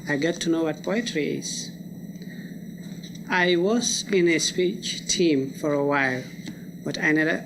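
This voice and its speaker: A young man who speaks English as a second language, sharing personal reflections in plain short sentences at a live event. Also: on the high side. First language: a language other than English. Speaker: student poetry reader. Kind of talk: unscripted personal reflection to an audience. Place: live college lecture event. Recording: clearly noisy live event recording.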